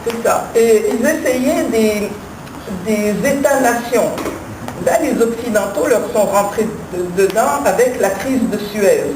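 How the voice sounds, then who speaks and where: A woman's voice: fairly high pitch, measured pace. An older woman on a panel, recalling past decades with engaged emphasis. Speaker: panel speaker. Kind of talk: reminiscing discussion. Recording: echoey room, distant mic, background noise.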